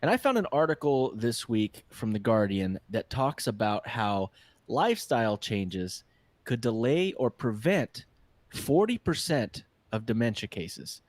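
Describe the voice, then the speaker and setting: A man's voice: mid-range voice, very animated, a little slow, dry recording. A man co-hosting a casual podcast, a little congested, chatting and joking easily. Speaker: podcast co-host. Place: hot room with a fan.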